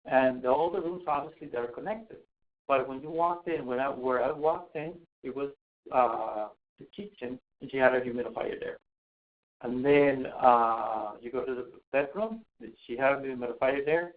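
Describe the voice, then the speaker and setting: A man's voice: medium pitch, moderate pace. A man from Costa Rica giving a webinar talk on home visits, hesitant, with many fillers and restarts. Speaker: asthma program home visitor. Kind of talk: webinar presentation. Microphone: webinar call mic. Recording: tinny phone-like webinar audio.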